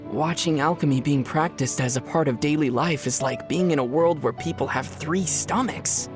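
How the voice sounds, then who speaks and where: An actor playing a calm, dry young male traveler from other worlds in a video game. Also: on the high side, normal speed, close to an everyday voice. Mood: wry. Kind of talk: video game dub dialogue. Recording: game audio with soft music behind.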